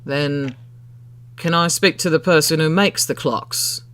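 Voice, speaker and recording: A man voicing a curious mid-thirties gentleman customer in a storybook, with a questioning, insistent manner. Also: a little high, a little slow, clear, resonant tone. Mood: doubting.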